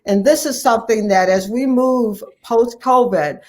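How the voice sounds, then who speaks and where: A woman's voice: fairly high pitch, a little slow. An American woman physician answering as an interview guest, earnest and emphatic, repeating key phrases for stress. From United States, Ohio. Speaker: guest, family physician and medical association president-elect.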